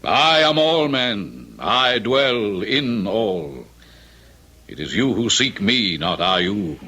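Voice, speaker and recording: An actor playing a devilish tempter, a knowing fallen angel who speaks in a suave, coaxing way in an old radio drama. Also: male voice, fairly low pitch, big pitch swings, takes it slowly, pushed and bright, heavily acted. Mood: persuasive.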